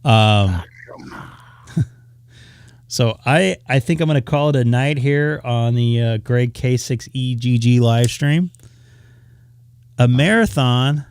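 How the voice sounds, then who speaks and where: A man's voice: mid-range voice, slow, pauses often, darkish tone, smooth, dry recording. A man hosting a live stream, chatting loosely while losing his voice from a dry throat. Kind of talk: casual live stream chat. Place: indoors, dry room.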